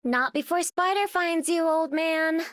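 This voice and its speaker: A plucky young game heroine with a high, girlish voice. She speaks unhurriedly, and the sound is close and dry. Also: unhurried, a bit bright, no room sound. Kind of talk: video game character voice lines.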